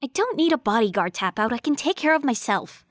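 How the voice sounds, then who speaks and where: A woman playing an eager, excitable female robot researcher in an audio drama, talking informally. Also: on the high side, a little fast, no echo, studio quality. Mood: chatty, insistent.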